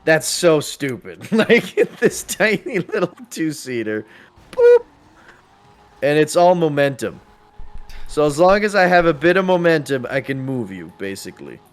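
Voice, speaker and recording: A man streams a game with casual, playful chatter. His pitch moves around a lot as he talks, and he swears freely. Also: big pitch swings, measured pace. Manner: playful, profane. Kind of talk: casual chat while playing a multiplayer crime game.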